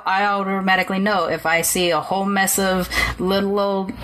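A woman's voice: mid-range voice, flat delivery, a little fast, a bit bright, low-quality recording. A woman with an American accent chatting casually as a podcast host, with some noise and clicks on the recording. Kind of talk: casual conversational interview. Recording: noticeable background noise, clicks.

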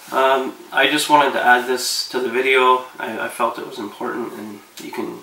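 A man in his thirties giving relaxed, rambling fishing advice to camera from his living room couch, with lots of fillers. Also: mid-range voice, normal speed, reverberant, distant mic, poor audio. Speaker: fishing vlogger.

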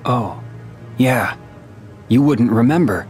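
A man playing a calm, serious young warrior in a video game, speaking in a measured, explanatory way. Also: mid-range voice. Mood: calm, matter-of-fact. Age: young adult. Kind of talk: animated video game dialogue. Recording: music under the voice.

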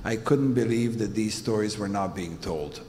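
A man from Serbia, a photographer answering questions in a panel discussion, speaking earnestly with many pauses and fillers. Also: lowish voice, darkish tone, a little hiss or hum. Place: museum exhibition hall. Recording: hall reverb, faint ambience.

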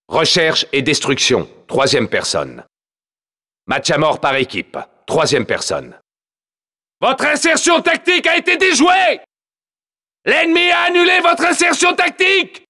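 Forceful high-voiced military announcer. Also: male voice, full character voice. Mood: urgent, shouting. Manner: crisp, authoritative, clipped. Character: commanding male military radio announcer. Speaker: multiplayer announcer in a game. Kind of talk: video game military announcements.

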